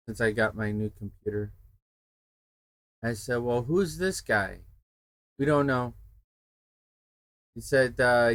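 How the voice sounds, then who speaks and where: An unhurried male game streamer from the United States, chatting with viewers in a loose, digressive way. His voice sits at an ordinary pitch and sounds close and dry, with no room around it. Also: fairly bright tone, no echo. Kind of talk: casual rambling chat while streaming a game.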